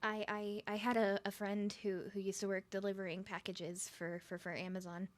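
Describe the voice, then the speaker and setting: A woman's voice: medium pitch, level tone, normal speed, lightly acted. A woman playing a nervous, smitten young woman on a first date in an ASMR roleplay, stuttering and rambling with flustered giggles.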